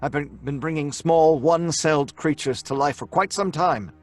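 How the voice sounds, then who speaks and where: An actor playing an obsessed young scientist in old-time radio horror style, earnest, dramatic and deliberate. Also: male voice, on the high side, soft background music, natural voice. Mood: intent, proud.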